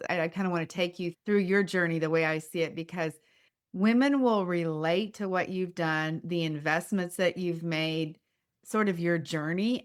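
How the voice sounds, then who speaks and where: A woman in her fifties hosts a coaching podcast, pitched a touch low. She interviews with effusive enthusiasm. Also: clean tone. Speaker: podcast host and business coach.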